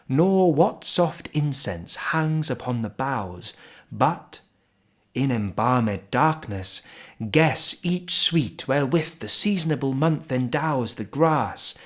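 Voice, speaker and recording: A man giving a solemn, expressive poetry recitation in measured phrases with pauses between them. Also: medium pitch. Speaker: poetry reader.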